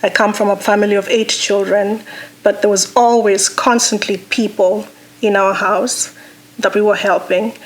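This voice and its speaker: A woman's voice: medium pitch, low-quality recording. Kenyan woman, executive director, mid-range voice, fluent and earnest. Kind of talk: personal account, interview-style.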